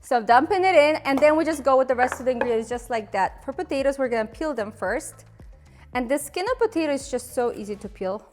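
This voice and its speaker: A woman's voice: high-pitched, lively intonation, brisk, faint music behind. A woman in her thirties from Ukraine with a Ukrainian accent, chattily hosting a cooking demonstration and laughing at her own slips. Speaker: cooking show host.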